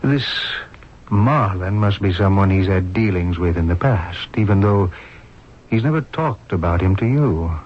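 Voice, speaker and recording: An actor playing a grave, troubled doctor in a 1970s radio mystery, speaking in a somber, deliberate way. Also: male voice, low-pitched, lively intonation, a little hiss or hum. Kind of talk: 1970s radio drama acting. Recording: dull lo-fi old radio recording.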